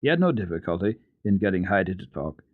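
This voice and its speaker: A man giving a measured, dramatic reading of a children's story as a radio storyteller. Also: sits low, no echo, narrow, tinny audio. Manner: expressive, measured storytelling delivery. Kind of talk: dramatic scripted narration of a children's book.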